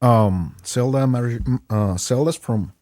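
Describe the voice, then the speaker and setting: A man's voice: mid-range voice, slow pace, darkish tone, dry recording, studio quality. A young man with a Mexican Spanish accent, a native Spanish speaker, chatting as a guest and searching for English words. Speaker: guest, game store owner. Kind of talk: casual interview chat.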